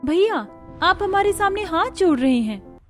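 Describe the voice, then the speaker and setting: A woman's voice: extremely high pitch, animated, normal speed, soft background music, pitched above the performer's own voice. A woman voicing a tender, earnest, loving young sister in a cartoon story. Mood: admiring, earnest. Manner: tender, sincere. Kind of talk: Hindi cartoon story acting.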